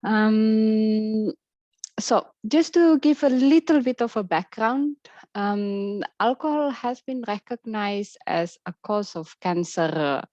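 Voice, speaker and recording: A woman's voice: medium pitch, takes it slowly, darkish tone, no room sound, muffled recording. A woman researcher giving a keynote talk on a webinar, speaking hesitantly with many fillers and restarts. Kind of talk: webinar presentation. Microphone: video call.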